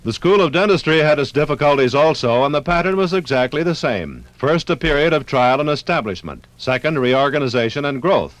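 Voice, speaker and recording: A man narrates a 1950s radio documentary. His voice sits a little high and his phrasing is formal and precise, recorded dry and close. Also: animated, brisk, hardly any pauses, dry recording.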